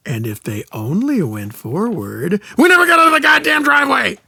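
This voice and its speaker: A man's voice: very high-pitched, big pitch swings, moderate pace, creaky, bright, forceful tone, breathy edge, no echo, heavily acted. An actor playing a sardonic, exasperated older man in an audio comedy drama, grumbling with an American accent. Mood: sarcastic, irritated. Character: gruff, exasperated old-school older man teaching a kid.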